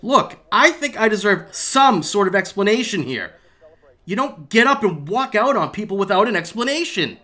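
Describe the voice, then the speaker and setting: High, pleading, bewildered man, played by an actor. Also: big pitch swings, moderate pace, sharp tone, heavily acted. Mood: confused, pleading. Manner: pleading, flustered, stammering. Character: whiny, bewildered man being left by his lover. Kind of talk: audio drama acting.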